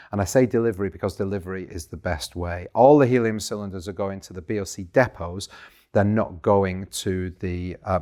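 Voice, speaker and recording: A man with a croaky voice, chatting through a shop's business update in a self-deprecating way. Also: low-pitched. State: croaky voice after a party. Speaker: shop owner hosting a business update show. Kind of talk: casual business update to camera.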